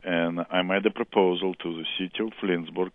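A deep-voiced man with a strong Russian accent speaks in halting, earnest English. He comes through a tinny, phone-like line with no room sound around him. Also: steady tone, no room sound. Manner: earnest, halting non-native English with some laughter. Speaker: chess school director. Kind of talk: phone interview.

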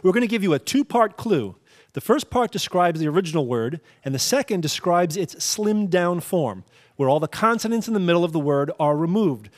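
A man serving as puzzle guru, explaining the rules of a word game in a measured, precise way. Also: sits high, animated.

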